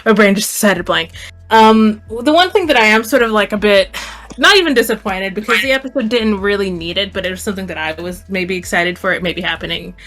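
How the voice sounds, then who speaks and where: A woman with a mid-pitched voice talks through her thoughts in a casual fan discussion. Her delivery is informal and rambling, over background music. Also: brisk, fairly bright tone. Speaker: podcast panelist.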